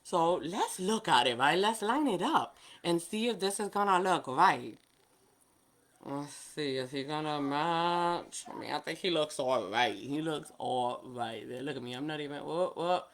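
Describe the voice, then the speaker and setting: A woman's voice: on the low side, sharp tone. Woman, New York accent with Spanish influence, native Spanish speaker, chatty livestream nail host, laughs often. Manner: chatty, talks to viewers by name, laughs often. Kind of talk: casual live chat while demonstrating.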